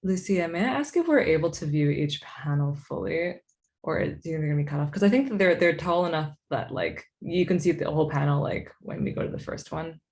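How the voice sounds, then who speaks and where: A woman's voice: deep voice, expressive, a little fast, a little mellow. A woman talking informally on a video call, a little hesitant and apologetic, often saying "like". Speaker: comics artist, event presenter. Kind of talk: casual, informal talk. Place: virtual event over video call.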